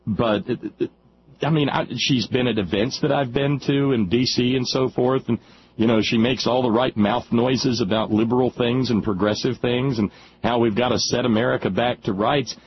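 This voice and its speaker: Man, radio talk host, chatty, halting, repeats words, dull radio sound, faint hiss. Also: mid-range voice, fairly even intonation. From West Virginia.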